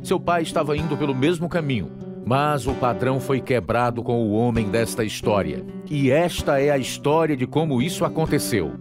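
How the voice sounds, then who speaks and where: A man's voice: mid-range voice, moderate pace. A man with a Brazilian accent, announcing and narrating a scripted dramatic radio programme. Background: guitar music.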